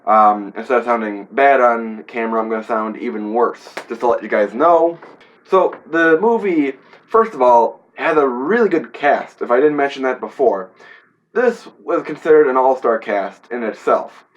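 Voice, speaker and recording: A man's voice: medium pitch, animated, normal speed, highs cut off. A man with a head cold, sounding congested, who gives a rambling, casual, classroom-style film review full of fillers and playful asides. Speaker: history teacher reviewing a film.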